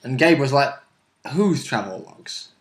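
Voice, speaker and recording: Man, tabletop game player, medium pitch, casual chat, informal, joking interjections. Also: sing-song, measured pace.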